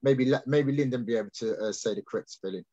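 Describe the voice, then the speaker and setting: A man's voice: medium pitch, fast, dull audio, a crowd in the background. A man explaining his ideas on a video call, hesitant with many restarts and fillers. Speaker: guest speaker.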